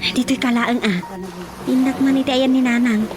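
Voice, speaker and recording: Loud music plays under a high-pitched actress's portrayal of a chatty village woman with an Ilocano accent. She talks in an animated, familiar, gossipy way. It is radio drama comedy. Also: moderate pace, natural voice. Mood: casual.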